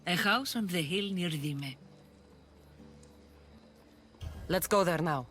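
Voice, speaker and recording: A woman's voice: fairly low pitch, moderate pace, a bit bright, close to an everyday voice. An actress playing a confident, wry Greek warrior woman in a video game, with a firm, decisive delivery and a Greek accent. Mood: calm, practical. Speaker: player character. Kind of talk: cinematic game dialogue.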